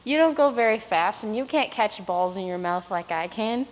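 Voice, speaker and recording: A woman's voice: mid-range voice, animated, moderate pace, natural voice. A boastful dog from a storybook, played by a woman. He is blunt and dismissive, full of scorn. The voice carries long hall reverb. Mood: scornful.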